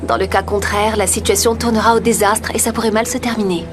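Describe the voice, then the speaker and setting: A woman acting a calm, scheming and controlling character in a French-dubbed soap. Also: medium pitch, fast talker, close to an everyday voice. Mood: urgent warning. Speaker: dubbing actor. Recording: music under the dialogue.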